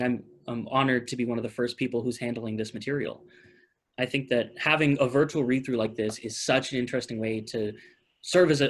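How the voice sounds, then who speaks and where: A man hosting a casual online panel, chatty and appreciative with his guests. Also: medium pitch. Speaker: host of a talkback. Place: virtual meeting video call.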